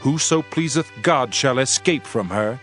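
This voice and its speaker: A man playing a solemn, world-weary preacher in a dramatized scripture reading, with measured, archaic oratory. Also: mid-range voice, lively intonation, fairly bright tone. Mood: solemn, reflective. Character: male preacher, solemn world-weary sage pondering wisdom and vanity. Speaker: narrator of a dramatized audio Bible. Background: music.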